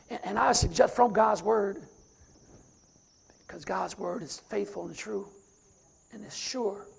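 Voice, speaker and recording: A middle-aged man with a raspy voice, a deacon preaching a sermon and reciting scripture from memory. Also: high-pitched, a little mellow.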